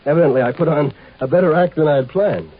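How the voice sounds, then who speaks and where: An actor playing a shrewd, condescending native agent posing as an imperial marshal, in a 1950s radio drama. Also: male voice, a little high, fast talker, breathy edge. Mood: smug, explaining. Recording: muffled, tinny 1950s radio recording.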